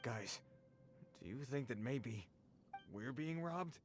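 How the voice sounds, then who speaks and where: A man's voice: mid-range voice, expressive, slow pace, music in the background. A blustering, mocking bandit man. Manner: gruff taunting tough-guy talk.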